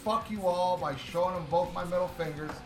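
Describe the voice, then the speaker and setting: Loud brash man, podcast co-host, profane boasting, slightly high voice, roomy sound, music playing behind. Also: fairly quick. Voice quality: very loud, powerful voice. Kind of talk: casual podcast chat.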